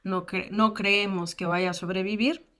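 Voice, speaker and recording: A woman in her thirties speaks as an invited guest and recounts her childhood. Her talk is candid and full of fillers, with flashes of self-deprecating humor. Her voice sits at an ordinary mid pitch. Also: fairly even intonation, unhurried, sharp tone. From United States. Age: 30s. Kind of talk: personal testimony in a lecture.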